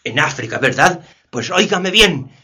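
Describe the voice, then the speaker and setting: A man playing a cruel, menacing slave master in a radio play, with a harsh, gravelly rasp. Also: a little high, expressive, normal speed, bright, forceful tone, full character voice. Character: menacing, cruel male slave master threatening his captives. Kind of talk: community radio play acting.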